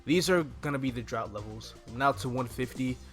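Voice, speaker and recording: A young adult man hosts a casual gaming walkthrough at an average male pitch. He thinks aloud in an easygoing way, close on a desk mic. Game music plays along behind his voice. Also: normal speed. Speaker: gaming video host. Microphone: desk microphone close to the mouth.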